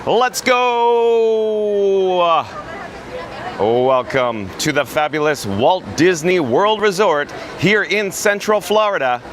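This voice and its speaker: Upbeat, chatty male vlog host, a bit high and hoarse, shot outdoors on a phone with steady street noise. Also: slow, some chatter behind. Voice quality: raspy. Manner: upbeat, chatty, reads chat aloud. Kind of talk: live-stream walkthrough. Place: outdoors at a busy theme park.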